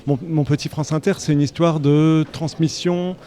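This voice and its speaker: A man's voice: a little high, fairly even intonation, moderate pace, warm tone. A man in his fifties, a public radio executive speaking on a conference panel, hesitant and wry with many restarts. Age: 50s.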